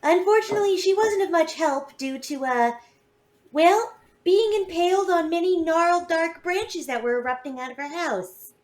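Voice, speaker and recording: A woman's voice: extremely high pitch, normal speed, low-quality recording. A woman player recapping the last session of a tabletop game, casual and hesitant.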